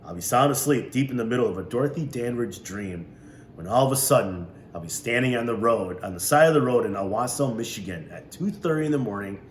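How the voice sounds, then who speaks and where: A man's voice: on the low side, close to an everyday voice. A man doing a teasing folksy old grandfather. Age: older. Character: teasing older grandfather driver, jovial. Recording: roomy echo, faint hiss.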